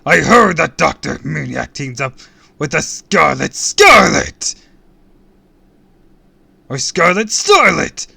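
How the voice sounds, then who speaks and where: A man voicing a huge, volatile comic-book supervillain, loud and booming, bellowing explosive threats in a storybook reading. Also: very animated, takes it slowly, vocal fry, pauses often, husky, no echo, exaggerated voice. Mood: enraged, booming.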